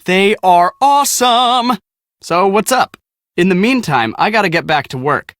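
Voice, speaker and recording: A man's voice: high-pitched, animated, a little slow, fairly bright tone, no room sound, clean studio sound. An actor playing an easygoing young male storm-chasing photographer in a video game, breezy and boyish. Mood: cheerful, easygoing. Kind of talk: video game voice acting, casual.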